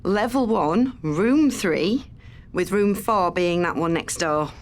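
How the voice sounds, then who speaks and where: A woman's voice: on the low side, lively intonation, slow. A woman playing a research assistant in an audio drama, professional, matter-of-fact and soothing. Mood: businesslike. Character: brisk, reassuring female research assistant at a university.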